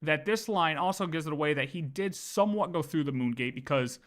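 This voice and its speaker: A man's voice: a little high, a little fast, a bit bright. A man livestreaming casual game lore commentary, thinking aloud and often repeating his words as he works through ideas.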